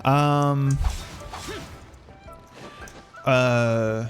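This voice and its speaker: A man chatting casually as a game streamer, informal and rambling, often halting mid-thought. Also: medium pitch, fairly even intonation, faint music behind. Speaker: video game livestreamer. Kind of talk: casual livestream chat.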